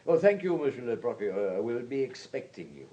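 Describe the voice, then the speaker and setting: A police superintendent in a radio play. He is authoritative and brisk, putting pointed questions and giving clipped orders in a medium-pitched voice. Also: sing-song, mellow, highs cut off. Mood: firm, polite. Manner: commanding, questioning, hesitant murmurs between orders. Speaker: detective superintendent. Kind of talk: radio drama acting.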